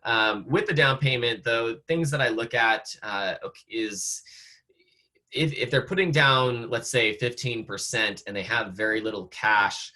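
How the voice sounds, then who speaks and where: A man with an American accent, slightly hoarse, teaching real estate agents over a video call in a casual way, with lots of fillers and restarts. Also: mid-range voice, unhurried, pushed and bright. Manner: conversational, many fillers and restarts. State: slightly hoarse, losing his voice. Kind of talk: online training talk.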